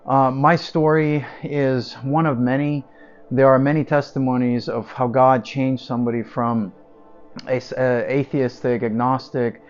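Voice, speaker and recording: A man's voice: mid-range voice, lo-fi sound. A man from Ukraine with a Ukrainian accent, giving an earnest personal faith testimony as an evangelist, repeating words for emphasis with frequent fillers. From Ukraine, Odessa.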